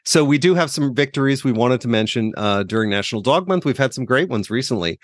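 A man's voice: medium pitch, animated, fast talker, dry recording. Casual male podcast co-host, dry close sound.

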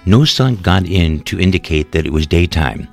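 A man's voice: low voice, expressive, moderate pace, creaky. A man reading a scripted story as a dramatic narrator. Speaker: author narrating own story. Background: music.

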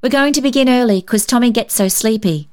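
A high, eager little girl from a storybook, played by a woman reader. She is cheerful and tender-hearted. She speaks in earnest, excited exclamations. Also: brisk, lightly acted.

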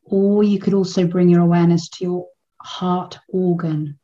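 A woman leading a guided meditation in a soothing, unhurried manner with long pauses between phrases. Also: lowish voice, flat delivery, dark tone, highs cut off.

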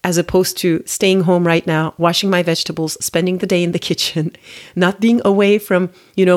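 A woman in her forties from Turkey, hosting a podcast and telling her own story in a reflective, conversational way with restarts and fillers. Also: on the low side. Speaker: podcast host. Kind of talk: personal storytelling monologue.

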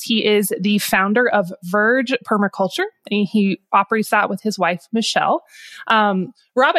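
A woman's voice: medium pitch, a bit bright, no room sound. A woman podcast host with a head cold, talking in a nasal, upbeat and chatty way to her listeners. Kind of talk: casual podcast chat.

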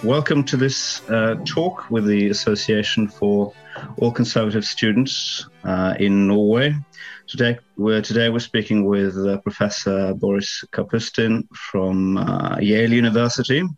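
A man hosting a podcast interview, polite and a little halting as he introduces his guest and asks questions. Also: on the low side, steady tone. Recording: dull lo-fi sound with faint music.

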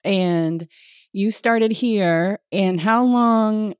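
A woman's voice: medium pitch, very slow, clear, resonant tone, dry recording, studio quality. A woman physical therapist hosting a casual interview, chatty and encouraging, often laughing. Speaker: physical therapist and podcast host.

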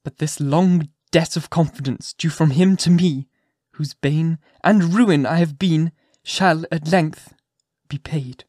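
A man's voice: medium pitch, monotone, normal speed, hardly any pauses, darkish tone, slightly rough, no echo, studio quality, natural voice. A man voicing an anguished but resolute woman who pleads with dignity in impassioned, archaic storybook speech. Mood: firm despair. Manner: archaic, impassioned, emphatic delivery.

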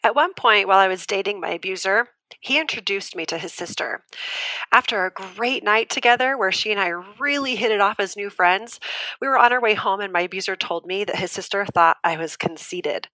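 A woman's voice: mid-range voice, fairly quick, bright, forceful tone, no echo, close to an everyday voice, poor audio. An actress playing an earnest, firm woman abuse survivor who explains patiently and articulately in an audio drama debate. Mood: grave, earnest. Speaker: debate guest.

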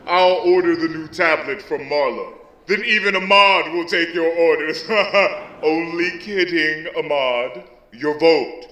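A man playing a booming council chairman. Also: takes it slowly, pushed and bright, roomy sound, poor audio. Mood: jovial. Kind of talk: audio drama acting.

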